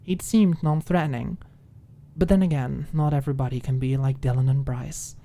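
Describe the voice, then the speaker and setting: A woman reading a scripted fiction audiobook in an expressive, ASMR storytelling style. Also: very deep voice, moderate pace. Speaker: audiobook narrator.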